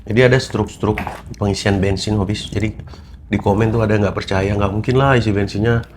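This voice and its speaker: Low-voiced man chatting casually. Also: fairly quick, slight background noise. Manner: relaxed, rambling with many fillers. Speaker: vlog host. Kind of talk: casual chat.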